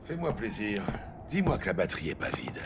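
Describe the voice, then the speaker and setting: An actor playing a gruff, commanding police lieutenant in a French audio drama, curt and coarse in manner. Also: male voice, fairly low pitch, huge pitch swings, a little fast, noisy background. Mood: intent, commanding.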